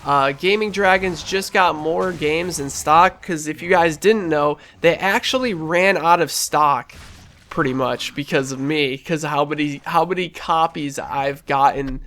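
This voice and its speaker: A young man commentating over his gameplay in a casual, rambling, enthusiastic way, sounding stuffy and a little hoarse. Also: on the high side, unhurried, fairly bright tone. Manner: rambling, enthusiastic, lots of fillers and restarts. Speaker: gaming channel host.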